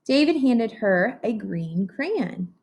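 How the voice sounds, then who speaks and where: A woman reading a picture book aloud to young children as a teacher does, pausing to explain and ask simple, encouraging questions. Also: on the high side, very animated, a little mellow, slightly rough.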